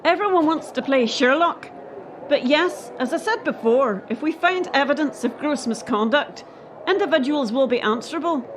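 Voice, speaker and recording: A woman's voice: sits high, animated, normal speed, background noise. A woman playing a defensive, steely head of gymnastics in a radio play, speaking in a firm, clipped, official way. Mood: guarded, firm.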